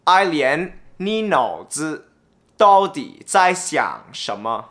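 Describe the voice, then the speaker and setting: Bright-voiced upbeat male language tutor. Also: fairly high pitch, big pitch swings, slow. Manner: upbeat, with wry joking asides. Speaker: language teacher and host. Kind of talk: casual explanatory tutorial.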